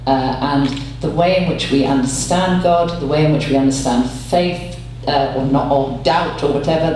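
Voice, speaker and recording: A woman giving a measured, reflective explanation as a panel speaker. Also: low voice, darkish tone. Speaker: panel speaker, interfaith academic. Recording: echoey hall, distant mic, faint hum.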